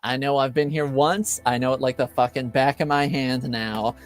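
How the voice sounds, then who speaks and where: A game master narrating a tabletop roleplaying game casually, with halting, hesitant phrasing. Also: mid-range voice, steady tone.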